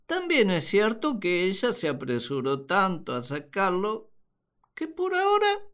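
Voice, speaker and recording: A woman plays a naive, puzzled first man in a radio play, full of his own importance. The delivery is slow and swings very widely in pitch. He ponders like an amateur naturalist, pompous and perplexed. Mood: puzzled, suspicious. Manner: theatrical, perplexed, pompous musing. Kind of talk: radio drama diary monologue.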